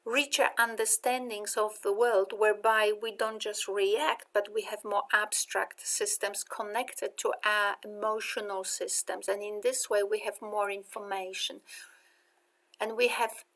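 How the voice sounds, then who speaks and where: A woman with a Polish accent, a native Polish speaker, giving a recorded university lecture in a slow, deliberate way with rhetorical questions. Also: medium pitch, fairly bright tone.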